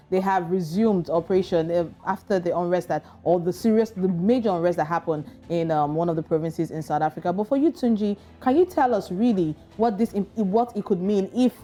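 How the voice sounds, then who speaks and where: Mellow-voiced female news co-anchor. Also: lowish voice. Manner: halting with fillers. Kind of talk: news presenting.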